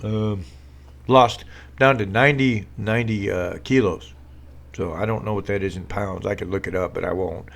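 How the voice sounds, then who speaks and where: A man with a slightly low voice rambles through casual political commentary on a live stream. He is sarcastic and loose, full of fillers and false starts, talking from a room at home. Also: steady tone, normal speed, gravelly. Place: home room with a green screen.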